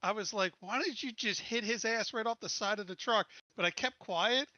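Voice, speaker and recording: A man's voice: high voice, moderate pace, bright, forceful tone, dry recording, lo-fi sound. A man playing a tabletop game, bantering casually and bluntly with some swearing.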